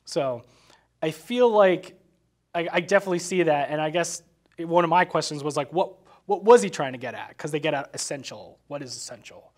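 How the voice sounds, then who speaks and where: Higher-voiced man casually talking film, halting. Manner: halting, thinking aloud.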